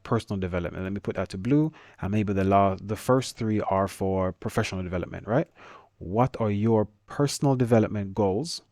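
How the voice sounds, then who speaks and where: A man with a Jamaican accent walks students through a document on his screen. His voice sits on the low side and comes across dry and close, with no room around it. He talks quickly, restarting words and stuttering now and then. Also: darkish tone, no room sound. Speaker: teacher. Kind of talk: screen-share tutorial.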